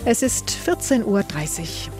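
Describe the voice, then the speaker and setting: A woman presenter reads traffic, weather and headlines in a radio studio with crisp, even broadcast poise. Her voice sits in a middle register, and a faint music bed murmurs underneath. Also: mid-range voice, unhurried. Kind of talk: read news, traffic and weather bulletin.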